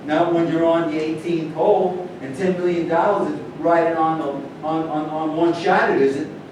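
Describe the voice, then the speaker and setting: In an echo-heavy room with noise, a man plays a touchy male pro golfer in a stage reading. His voice is fairly high, his manner defensive and scoffing. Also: steady tone, moderate pace, lightly acted. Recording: echoey room, distant mic, noisy rumble.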